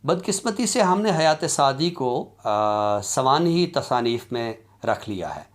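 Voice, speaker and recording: A man giving an academic lecture in a deliberate, explanatory way, with halting pauses. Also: a little high, sing-song. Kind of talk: academic lecture on literary criticism.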